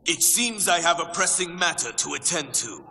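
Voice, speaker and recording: A man's voice: on the high side, expressive, moderate pace, gravelly, a little hiss or hum, exaggerated voice. A man playing a scheming, pompous sorcerer who presides over a tournament in a theatrical way, in a video game. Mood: smug. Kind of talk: dramatic video game acting. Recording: long hall reverb under loud music.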